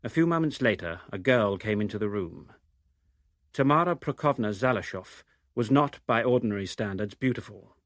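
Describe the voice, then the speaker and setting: A man's voice: lowish voice, animated, moderate pace, no room sound, clean studio sound. A man reading literary prose as a scripted narration. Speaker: reader of novel extracts.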